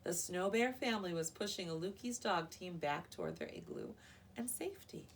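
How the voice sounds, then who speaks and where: A woman with a fairly low, lively voice and some creak reads a storybook to small children. She is expressive and pauses to put questions to her listeners, recorded a little way from the mic. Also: distant mic, slight background noise. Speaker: preschool teacher. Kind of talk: animated read-aloud of a picture book to young children.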